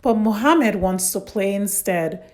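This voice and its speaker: A woman hosting and narrating a read-aloud story for young children in simple, deliberate phrasing. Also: medium pitch, darkish tone.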